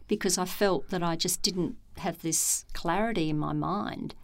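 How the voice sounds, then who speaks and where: A middle-aged woman, a former executive turned meditation teacher, speaking in a calm, measured and thoughtful way with frequent pauses. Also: lowish voice. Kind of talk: reflective interview talk.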